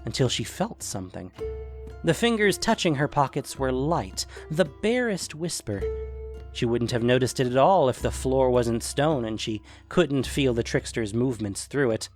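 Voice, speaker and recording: A man's voice: medium pitch, animated, moderate pace, faint music behind. A man reading scripted fantasy fiction as a dramatic narrator.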